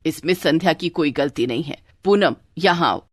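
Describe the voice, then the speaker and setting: A woman's voice: sits low, expressive, normal speed, no echo. A woman voicing a stern, accusing middle-aged mother-in-law who scolds, in a cartoon. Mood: angry, accusing.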